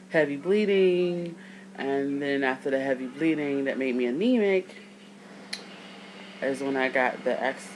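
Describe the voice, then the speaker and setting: A woman in her thirties with a deep voice, just out of bed, talking to her camera in a chatty, rambling way with side notes. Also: a little hiss or hum, poor audio. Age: 30s. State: just woke up. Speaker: vlogger. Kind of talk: casual talk to camera.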